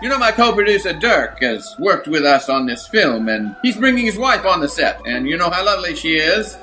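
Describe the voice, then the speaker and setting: A man plays a self-important Hollywood mogul in a radio drama parody. He is pompous and suspicious, with a bright, fairly high voice over soft music. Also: very animated, fairly quick, low-quality recording. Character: self-important, suspicious male movie studio boss. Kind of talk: improvised old-time radio drama parody.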